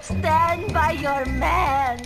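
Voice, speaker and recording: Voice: high voice, drawn-out words, over loud music, exaggerated voice. A performer voicing a wailing, lovesick female zombie bride in a cartoon video game. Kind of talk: animated video game character acting.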